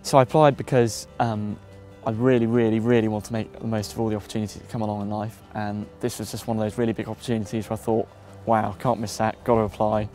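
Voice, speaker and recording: A man's voice: lowish voice, flat delivery, fast, hardly any pauses, dark tone, soft background music. A man in his twenties from the West Midlands of England, eagerly introducing himself as a contestant. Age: 20s.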